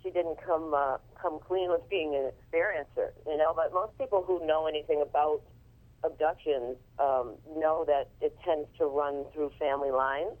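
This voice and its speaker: A woman's voice: sits low, normal speed. A woman speaking over a telephone line as an interview guest, talking casually and informatively about what she organizes.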